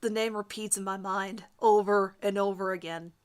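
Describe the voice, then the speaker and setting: A woman's voice: medium pitch, fairly even intonation, normal speed, clean tone, pitched below the performer's own voice, close to an everyday voice. A woman voicing a vain, lovestruck teenage boy who narrates his crush with overwrought emphasis in a melodramatic fan fiction reading. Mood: smitten, yearning.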